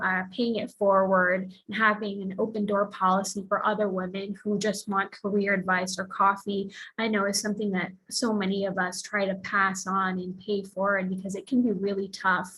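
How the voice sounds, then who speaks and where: A woman's voice: mid-range voice, steady tone, normal speed, few pauses, a bit bright, husky, poor audio. A woman from the Philippines speaking earnestly as a panelist, sharing her own path with many fillers. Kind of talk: panel discussion, personal account.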